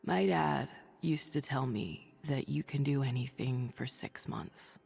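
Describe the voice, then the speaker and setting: An actress playing an ailing, stubborn woman doctor turned hero in a video game drama, speaking in a halting, confiding but resolute way. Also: a bass voice, darkish tone, big-room sound, exaggerated voice. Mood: tired, confiding. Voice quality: husky, breathy, creaky. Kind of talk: dramatic video game acting, audio log monologue.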